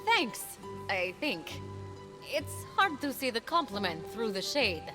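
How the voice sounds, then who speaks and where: Game role: sassy young mythic heroine, plucky and wry, high voice, very animated. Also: female voice, a little slow, music in the background. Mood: wry, confident. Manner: sassy, expressive, questioning. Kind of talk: animated video game cutscene acting.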